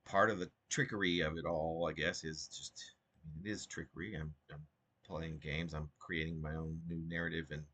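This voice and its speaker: A man with a husky voice speaking as a poet about and from his own work, in an informal, halting and digressive way. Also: rumbling low, little pitch movement, bright, forceful tone. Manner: halting, digressive, self-interrupting. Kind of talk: rambling informal commentary and poetry reading.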